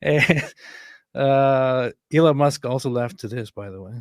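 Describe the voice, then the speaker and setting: A man's voice: medium pitch, a little slow, no room sound, clean studio sound. A man co-hosting a casual online commentary, reading posts aloud and commenting on them with voiced emphasis. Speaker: co-host reading and commenting on social media posts.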